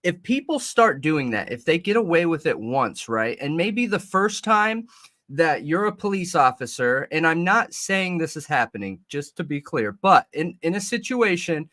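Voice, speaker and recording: A man's voice: a little high, very animated, moderate pace, fairly bright tone. A man co-hosting a livestreamed podcast, chatting casually and rambling with restarts into a desk mic that has a slight metallic ring. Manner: rambling, restarts mid-thought. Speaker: podcast co-host.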